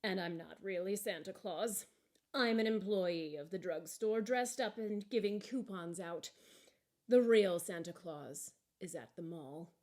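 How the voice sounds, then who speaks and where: A woman's voice: fairly low pitch, a little slow, a little mellow, lightly acted. A woman voicing a weary, grumbling heavyset man in a Santa suit, matter-of-fact, for a storybook. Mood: flat, deflating. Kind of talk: children's storybook character voice.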